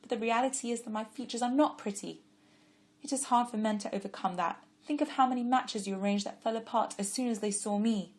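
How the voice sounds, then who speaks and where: A woman voicing a shy young woman who speaks softly and modestly about herself. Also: a little high, brisk, pitched above the performer's own voice, lightly acted. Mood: tearful.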